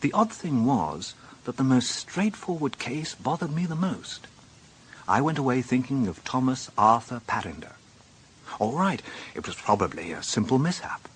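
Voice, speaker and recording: A man's voice: medium pitch, very animated, moderate pace, a bit bright, slight background noise, low-quality recording. A British actor playing a dry, sardonic police inspector who narrates his own case in a radio drama. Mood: wry, reflective.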